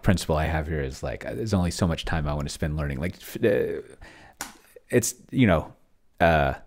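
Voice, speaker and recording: A middle-aged man thinking aloud casually at his desk, often muttering and trailing off as he narrates what he is doing on screen. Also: extremely low pitch. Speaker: host. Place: indoor home room at a desk.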